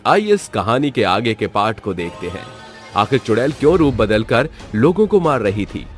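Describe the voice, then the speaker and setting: A man's voice: medium pitch, sing-song, normal speed, soft background music. A man giving scripted narration as the storyteller of a horror tale.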